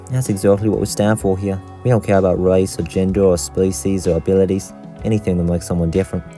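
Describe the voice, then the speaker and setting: A man voicing a principled, idealistic outcast vigilante leader in an amateur animated series, giving earnest little speeches. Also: sits low, fast talker, soft background music, natural voice. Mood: earnest, reassuring.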